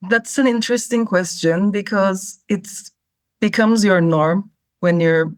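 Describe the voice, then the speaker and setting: A young woman from Cyprus, a native Turkish speaker, answering interview questions in a thoughtful, measured way. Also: medium pitch, dry recording. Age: young adult. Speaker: guest, travel writer.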